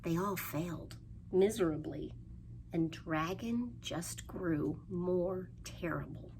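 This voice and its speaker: A woman presenting a children's storytime, reading aloud in a sing-song, questioning way with playful pauses and hushed asides. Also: on the low side. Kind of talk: animated read-aloud for young children. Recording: faint background noise.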